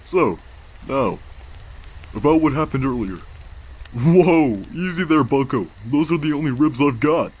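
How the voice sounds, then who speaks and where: A man voicing a weary, wisecracking skeleton big brother in a comic dub, casual and halting, with a tender, secretly anguished streak. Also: on the high side, lively intonation, darkish tone, husky, rough recording. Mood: gentle, serious. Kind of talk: comic dub character acting. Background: background music.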